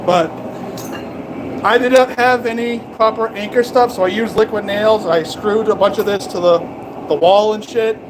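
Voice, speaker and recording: Man, high voice, rambling and jokey, casual shop talk, small garage, loud blower roar behind. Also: moderate pace, background noise. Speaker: blacksmith streamer.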